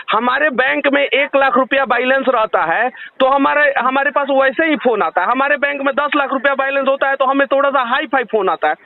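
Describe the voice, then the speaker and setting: A man with a Bihari Hindi accent arguing insistently on a phone complaint, firing sarcastic rhetorical questions. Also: very high voice. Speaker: caller confronting a loan telemarketer. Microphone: phone line. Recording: tinny, narrowband phone call recording.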